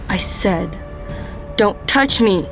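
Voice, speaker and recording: Female actor, radio drama: young hostage, frightened yet defiant, deliberate slow delivery, ominous music loud behind. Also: mid-range voice, expressive. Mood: defiant anger. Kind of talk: amateur radio drama acting.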